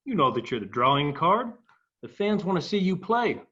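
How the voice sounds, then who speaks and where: High-pitched man playing a stern club owner. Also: sing-song, normal speed, fairly bright tone, breathy edge, lo-fi sound, exaggerated voice. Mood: stern, irritated. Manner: reproachful, emphatic. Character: stern, scolding male baseball club owner. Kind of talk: dramatic table read.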